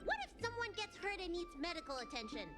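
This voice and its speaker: A woman's voice: extremely high pitch, very animated, quick pace, over loud music. A woman voicing a small, sweet, playful girl healer in a video game, in a cutesy childlike manner. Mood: cheerful, contented. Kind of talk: video game character acting.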